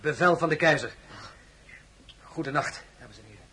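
Bright, formal military officer. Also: male voice, on the high side, a little hiss or hum. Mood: formal, resolute. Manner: formal, announcing, deliberate. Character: formal, courteous military officer addressing assembled guests. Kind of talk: radio drama acting.

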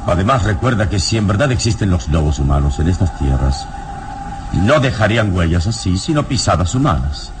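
A wise, commanding adventurer with a very low voice. He speaks with deliberate, sententious drama and wide melodic swings, in old radio serial style. The recording is clearly noisy. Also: big pitch swings. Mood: grave, explanatory. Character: calm, wise, commanding male adventurer hero.

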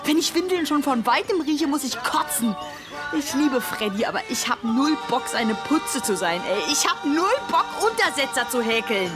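A woman's voice: sits high, expressive, moderate pace, over music. An actress playing a defiant, bitter young village woman in a radio drama, blunt, slangy and foul-mouthed. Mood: furious, bitter. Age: young adult.

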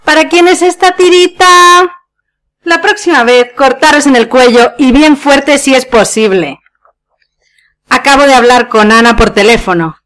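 A woman's voice: sits high, unhurried, a bit bright. A woman from Spain acting a scornful, sardonic wife in a radio play farce, with a cutting manner and a Spanish accent.

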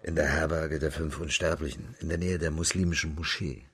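A man's voice: very deep voice, steady tone, a little fast, fairly bright tone, husky, heavily acted. A man playing a bluff, hard-drinking military officer of imperial China in a radio drama, blunt and brash in manner. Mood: sociable.

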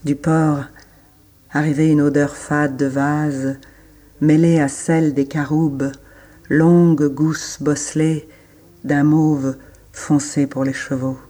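A man reciting literary prose in a radio production, in short measured phrases with pauses between them. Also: fairly high pitch, level tone, dark tone.

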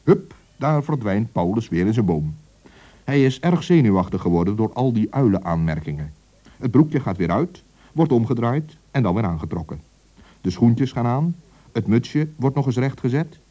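A man narrating a children's radio story in a lively, expressive way. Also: mid-range voice, dull audio.

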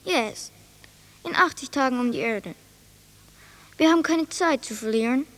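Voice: high voice, animated, unhurried, a bit bright. An actor in a German radio play voicing an eager child who earnestly play-acts and directs the game. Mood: brisk, commanding.

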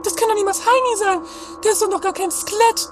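A woman's voice: very high voice, fast talker. A woman playing a whiny, dawdling sidekick in an amateur trashy comedy radio play. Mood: dismayed. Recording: 1980s amateur radio play with music behind.

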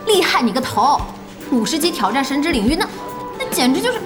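An actress playing a feisty, bossy young internet cafe boss in an audio drama, scolding and teasing with lots of exclamation. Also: female voice, sits high, very animated, a little fast, music in the background. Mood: incredulous, indignant. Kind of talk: Chinese audio drama acting.

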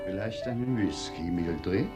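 A man's voice: a little slow, over music. A man playing a sceptical husband in a German radio play, dryly dismissive and incredulous toward his wife. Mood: calm. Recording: faint low rumble.

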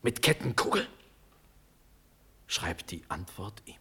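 An actor playing a proud, fervent young condemned prince in a classical verse radio drama, declaiming with a husky voice. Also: male voice, sits low, very animated, takes it slowly, heavily acted. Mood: urgent pleading. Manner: declamatory, swinging from hushed to shouting.

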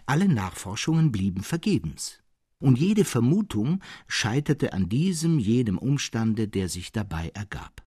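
A man reading literary fiction as narrator, in a measured, dramatic and expressive way. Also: medium pitch. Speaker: narrator, reader.

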